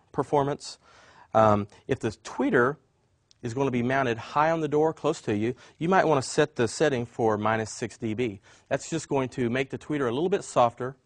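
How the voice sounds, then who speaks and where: A man with a medium-pitched voice gives an instructional product demonstration. He explains each point step by step in the way of a trainer showing how something works. The recording is dry, with very little room sound. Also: no room sound.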